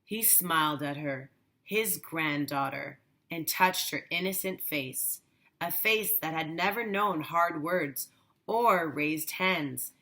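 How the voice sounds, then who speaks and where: A woman reads a children's storybook aloud as a teacher would. Her voice is bright and a little low. She takes it very slowly, phrase by deliberate phrase.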